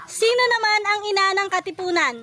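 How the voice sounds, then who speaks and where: Very high-pitched Filipino woman quiz host. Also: a little fast, heavy background noise. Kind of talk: playful quizzing in a comedy video.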